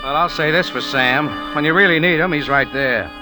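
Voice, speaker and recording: A man's voice: on the high side, fairly quick, natural voice. A man playing a tough, wry cafe owner and amateur sleuth in an old radio drama, telling it in a clipped, dry, hard-boiled way. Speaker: lead actor and first-person narrator in a radio drama. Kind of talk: 1940s-50s hard-boiled radio detective acting. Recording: old radio recording with music behind.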